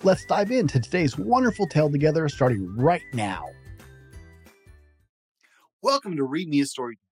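A man's voice: medium pitch, dry recording. A man hosting a read-aloud, telling a rhyming children's story in a theatrical, sing-song way. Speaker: host reading a children's book aloud.

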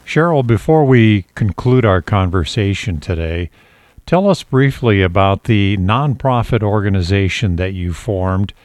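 A man's voice: fairly low pitch, expressive, unhurried, a little mellow, no echo, clean studio sound. A man hosting an interview, asking questions in a conversational style. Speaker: interview host.

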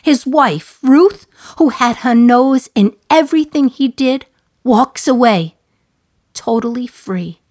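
A woman's voice: sits high, animated, takes it slowly, a little mellow, exaggerated voice. An actress playing a condemned woman prisoner in her thirties, talking to the listener in a wry, sardonic, confiding way in a radio play monologue.

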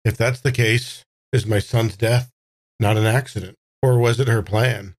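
A man playing a tabletop roleplaying game, improvising dialogue and narration in a halting, thoughtful way. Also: fairly low pitch, steady tone, no room sound. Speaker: player in a tabletop roleplaying audio drama. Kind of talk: improvised in-character talk and casual narration.

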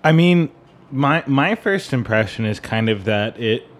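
A man co-hosting a podcast, talking casually about music in a halting way as he searches for words. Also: mid-range voice, animated, slight background noise. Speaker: podcast co-host. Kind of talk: casual music discussion with some theory.

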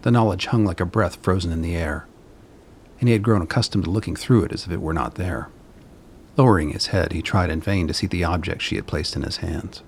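A man narrating a dystopian radio play as a sombre, deliberate storyteller. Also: low-pitched, vocal fry, a little hiss or hum.

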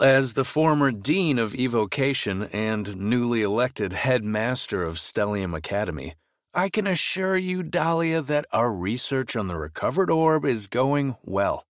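A man's voice: medium pitch, no room sound, studio quality, natural voice. A man playing a pompous, obsequious academy headmaster in a villain's service, formal and self-assured, in an audio drama. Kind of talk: scripted audio drama acting.

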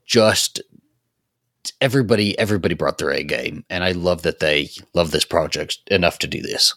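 A man's voice: deep voice, normal speed, a bit bright, no room sound, clean studio sound. A man co-hosting a podcast, chatting casually and emphatically. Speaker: podcast co-host. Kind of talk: casual chat.

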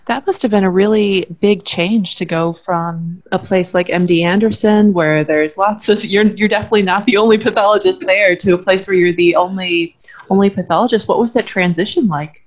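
A woman's voice: mid-range voice, fairly even intonation, moderate pace. A woman hosting a podcast interview, friendly and enthusiastic, reading a scripted introduction. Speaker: podcast host. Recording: dull lo-fi audio with faint music.

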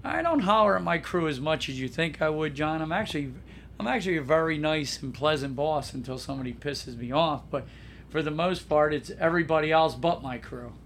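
A man's voice: on the high side, animated, brisk, fairly bright tone. A man hosting a casual podcast chat with a scratchy sore throat, blunt and joking, often calling his co-host by name.

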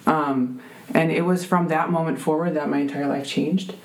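A woman with a deep voice, telling a heartfelt faith testimony as a guest, full of ums and restarts. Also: steady tone, normal speed, low-quality recording. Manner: earnest, many fillers and restarts.

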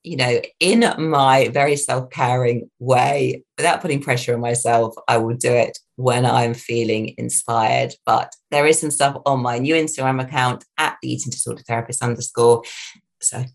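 A woman hosting a solo podcast as an eating disorder therapist, talking in a gentle, reassuring way with a croaky voice and frequent fillers. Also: rumbling low, steady tone, normal speed.

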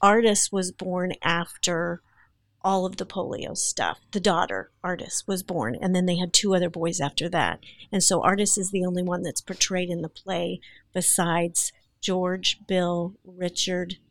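Lower-pitched woman chatting on a podcast. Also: fairly even intonation, measured pace, dry recording. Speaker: actress guest. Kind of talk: casual storytelling in podcast chat.

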